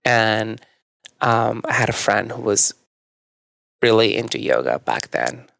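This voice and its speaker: A young adult man from northern Mexico whose first language is Spanish, a podcast guest telling his story in a relaxed, reflective way with frequent fillers. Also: lowish voice, slow pace, vocal fry, dry recording. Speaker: podcast guest, yoga teacher. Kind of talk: casual conversational storytelling.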